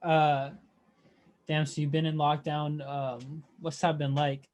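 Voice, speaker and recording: A man's voice: a little high, little pitch movement, takes it slowly, darkish tone. A man hosting an interview over a video call, easygoing and full of fillers. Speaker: interview host. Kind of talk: casual interview chat.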